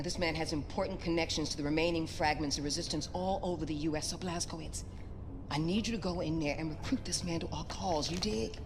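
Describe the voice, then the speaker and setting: A woman playing a tough, low-pitched resistance leader. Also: close to an everyday voice. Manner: blunt, commanding, swearing. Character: tough, foul-mouthed American resistance leader, commanding mother. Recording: long reverb, noisy ambience behind.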